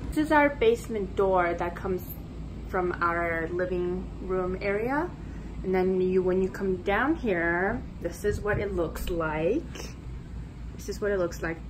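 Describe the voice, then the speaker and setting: A woman in her thirties chats informally through a home vlog in an unhurried way. Her voice sits on the low side for a woman. The handheld recording in an unfinished basement carries clear background noise. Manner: informal, conversational. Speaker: family vlogger. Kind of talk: casual home tour vlog narration. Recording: clearly noisy handheld camera audio.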